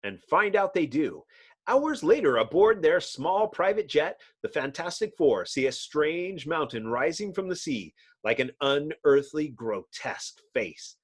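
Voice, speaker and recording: A man's voice: fairly high pitch, very animated, unhurried, slightly rough. A man narrating a comic book dramatically, with theatrical, suspenseful emphasis.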